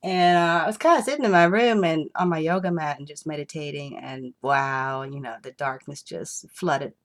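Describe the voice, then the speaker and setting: A woman with a cold, sounding congested, who talks slowly to her viewers and reassures them with many fillers. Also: sits low. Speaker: spiritual reader. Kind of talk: casual spiritual vlog.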